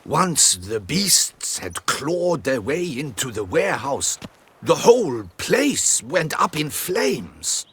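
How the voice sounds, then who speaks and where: Anxious guildsman: pleading, servile, swooping pitch, bright tone, fantasy game. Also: sing-song, slow, husky, exaggerated voice. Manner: pleading, obsequious. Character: deferential, anxious male guild petitioner. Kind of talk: video game voice acting.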